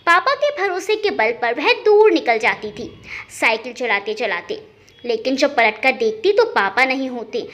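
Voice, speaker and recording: A woman storyteller narrating a scripted family drama in an emotive, expressive way. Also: high voice, normal speed.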